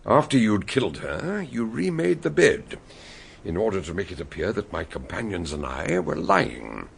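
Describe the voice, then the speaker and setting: A husky, shrewd detective. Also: male voice, fairly low pitch, huge pitch swings, full character voice. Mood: explaining. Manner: explaining, measured. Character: shrewd male detective, calm and lecturing.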